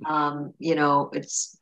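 A woman's voice: sits low, completely flat delivery, drawn-out words, a bit bright, poor audio. A woman with a Trinidadian accent hosting an interview in a chatty way with asides. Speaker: podcast host.

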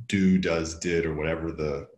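A man's voice: very deep voice, little pitch movement, moderate pace, a little mellow. A man speaking as a guest and author in a podcast conversation, explaining his ideas in a reflective, earnest way. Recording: echoey room.

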